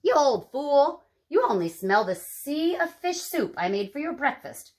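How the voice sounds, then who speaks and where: An excitable, bossy giantess out of a storybook, put on by a woman. Her voice is pitched high and shrill, and she exclaims her words. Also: measured pace. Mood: scolding.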